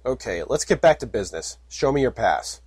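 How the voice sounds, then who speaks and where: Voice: medium pitch, big pitch swings, brisk, a little mellow, husky, no room sound. A reader doing a dry, skeptical unicorn stallion. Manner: dry, skeptical, matter-of-fact. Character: big skeptical male unicorn guard.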